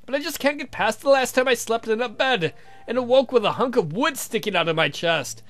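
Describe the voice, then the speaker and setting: A man's voice: very high-pitched, animated, fairly quick, fairly bright tone, pitched above the performer's own voice, full character voice. A man voicing a grumbling, fretful vampire count in a visual novel playthrough, whiny and complaining. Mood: weary. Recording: music behind the voice.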